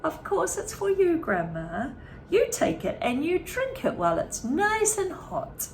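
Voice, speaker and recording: A woman's voice: very high voice, huge pitch swings, unhurried, a little hiss or hum, voice pushed up, full character voice. A woman voicing an eager, hopeful father in a storybook reading. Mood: sweetly coaxing.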